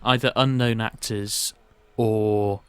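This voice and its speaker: A man's voice: on the low side, steady tone, slow pace, fairly bright tone, smooth, dry recording. A man giving his opinions in casual podcast chat, thinking aloud and rephrasing as he goes. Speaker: podcast panellist.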